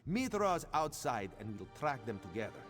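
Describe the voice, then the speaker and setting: A man's voice: medium pitch, very animated, moderate pace, fairly bright tone. An actor voicing a vain, playful cat-man agent in a video game, speaking theatrically and with self-assurance. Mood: confident, conspiratorial. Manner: theatrical, self-assured, refers to himself by name. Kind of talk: video game character dialogue. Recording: music behind the voice.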